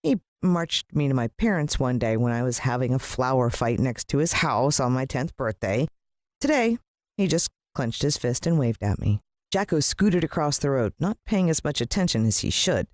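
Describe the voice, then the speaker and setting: Animated American woman reading a children's story. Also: a bass voice, normal speed, no echo, studio quality. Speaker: audiobook narrator. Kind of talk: expressive scripted children's story narration.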